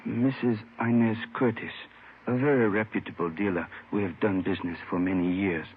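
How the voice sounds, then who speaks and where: A man's voice: lowish voice, normal speed, husky. A man acting an injured, courteous jade dealer in a 1950s radio drama, speaking formally and carefully with some hesitation. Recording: tinny, faintly noisy old radio recording.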